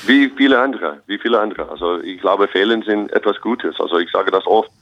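A man's voice: low voice, fairly quick, a bit bright, no room sound, dull audio. A young American man with English as his first language, a basketball coach speaking German earnestly and optimistically as an interview guest. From United States. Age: young adult. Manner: optimistic, earnest, restarts phrases often.

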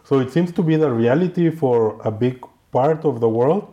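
A man from Mexico, hosting an interview and asking measured, reflective questions. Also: mid-range voice, warm tone, dull audio. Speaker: podcast host.

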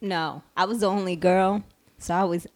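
Low-voiced woman co-hosting a chat. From Puerto Rico. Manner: lively, slangy, quick asides. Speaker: podcast co-host. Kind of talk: casual chat.

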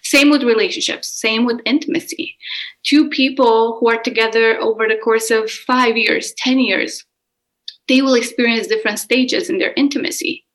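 Romanian-accented lady coach, bit high voice, talks open and earnest, speeds up in bursts. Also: fairly even intonation, normal speed, fairly bright tone, rough recording. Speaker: relationship and intimacy coach. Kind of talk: candid personal interview answers.

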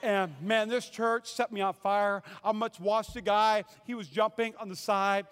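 A man's voice: high voice, steady tone, a little slow, fairly bright tone, smooth. A pastor from North Dakota preaching a humorous sermon, playfully quizzing his congregation with call-and-response. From North Dakota, USA.

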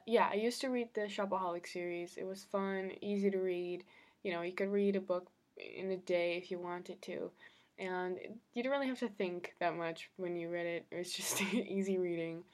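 A young woman talking casually on her own podcast, rambling with fillers and restarts, slightly congested from a cold. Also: lowish voice. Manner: rambling, chatty, laughs often, many fillers and restarts. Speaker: podcast host. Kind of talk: casual solo podcast chat. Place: her room at home.

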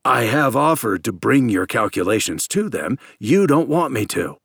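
A man's voice: medium pitch, very animated, moderate pace, creaky, fairly bright tone, husky, no echo, clean studio sound, exaggerated voice. A man voicing an evasive, controlling captor in an audiobook, placating and patronizing. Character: evasive, controlling male captor, coolly placating, secretly menacing.

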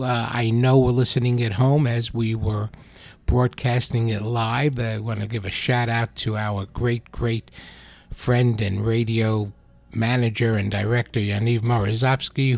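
A man's voice: medium pitch, flat delivery, unhurried, few pauses, darkish tone, no room sound. A man hosting a casual radio interview at a summer camp, chatty and rambling with fillers and restarts.

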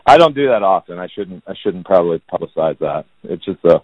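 Man, thirties, from Indianapolis, monotone, self-deprecating, dull lo-fi recording. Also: low voice, brisk, no echo. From Indianapolis, United States. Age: 30s. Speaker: guest, real estate agent. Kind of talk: casual podcast interview. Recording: dull, lo-fi sound.

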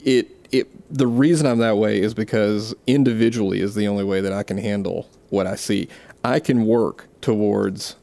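A man's voice: fairly low pitch, fairly even intonation, normal speed, creaky, dark tone, dry recording. A man from South Mississippi with a Southern accent, co-hosting a casual podcast chat in a rambling, self-deprecating way with frequent restarts. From South Mississippi, USA. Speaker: podcast co-host. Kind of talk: casual conversation.

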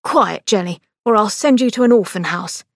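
Woman doing smug young male doctor, teasing, slightly high, dry close mic. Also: animated, unhurried, no echo, exaggerated voice. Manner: teasing, exasperated.

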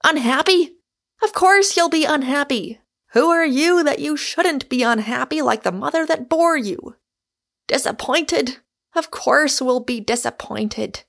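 An exuberant storybook Irishman, acted out by a woman, argues and woos in emphatic, declamatory speeches. The voice is pitched fairly high for the part, with some creak in it. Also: animated, unhurried, fairly bright tone, full character voice. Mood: impassioned. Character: ardent, emphatic Irishman, exuberant and argumentative suitor.

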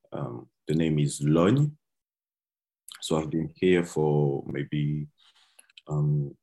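Deep-voiced man, slow and halting, mellow tone. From France, born in Ivory Coast. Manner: hesitant, with many pauses and fillers. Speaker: dancer, guest.

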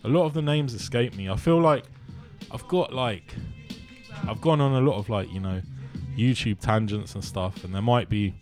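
Lowish guy chatting easy, lots of ums and likes, faint music behind. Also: normal speed. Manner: relaxed, hesitant with many ums and likes. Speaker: musician guest. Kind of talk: casual chat.

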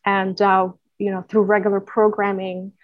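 A woman's voice: medium pitch, level tone, moderate pace, dry recording, phone-quality sound. A woman from Puerto Rico answering interview questions as an organization's staff member, earnestly and with many fillers and restarts. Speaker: guest, nonprofit staff member.

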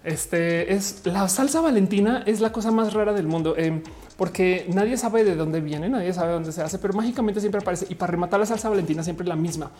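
A woman with a Mexican accent and a fairly low, very mellow voice. She hosts a livestream, reading viewer comments aloud and replying in loose, colloquial chat full of slang. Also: fairly quick. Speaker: livestream host answering viewer questions.

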